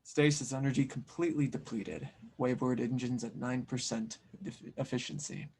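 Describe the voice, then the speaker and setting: A man's voice: mid-range voice, normal speed, creaky, darkish tone, a little hiss or hum. A man reading scripted narration and stage directions as the narrator of an audio drama table read.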